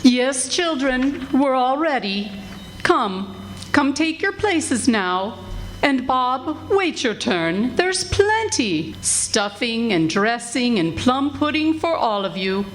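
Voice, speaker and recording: A woman playing a motherly, sharp-tongued working-class wife in a live radio play, with emphatic, drawn-out delivery. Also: sits high, very animated, a little hiss or hum, full character voice. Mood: bustling. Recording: music behind live stage performance.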